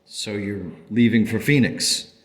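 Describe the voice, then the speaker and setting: A man playing a grateful, thoughtful father in a radio play, speaking in a measured, sincere and reflective way. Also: on the low side, close to an everyday voice. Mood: warm, curious. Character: grateful, thoughtful father telling his strange story. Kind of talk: radio play dialogue and first-person narration. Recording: long hall-like reverb.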